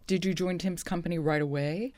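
A woman's voice: lowish voice, fairly even intonation, a little fast, darkish tone, dry recording. Female interviewer, conversational questions, close dry sound.

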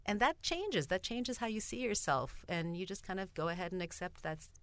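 A woman's voice: mid-range voice, expressive, fairly quick, no echo. A middle-aged woman playwright from Sweden, whose first language was Bulgarian, answering interview questions thoughtfully. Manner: thoughtful, reflective, occasional laughs. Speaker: playwright and actress.

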